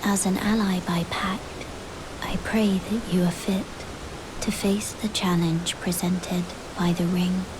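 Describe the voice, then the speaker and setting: A woman playing a soft-spoken, enigmatic young guide in a fantasy game, breathy and formal in a solemn, measured way. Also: on the low side. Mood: solemn, earnest. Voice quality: breathy, hushed. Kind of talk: scripted fantasy game dialogue. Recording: steady hiss and noise behind.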